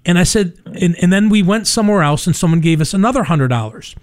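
A middle-aged man with a softened New York accent, telling stories in an animated, casual conversational way. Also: high-pitched, fast. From New York, United States. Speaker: ministry leader telling its story. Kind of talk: casual radio interview conversation.

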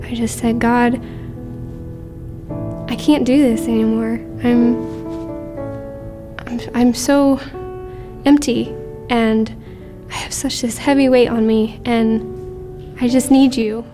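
A woman telling her personal testimony in a halting, confessional manner. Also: fairly high pitch, fairly even intonation. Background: instrumental music.

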